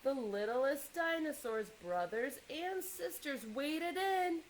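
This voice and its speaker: A woman reading a children's picture book aloud in an expressive way, pausing to engage young listeners with questions and asides. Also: high voice, a little hiss or hum, poor audio.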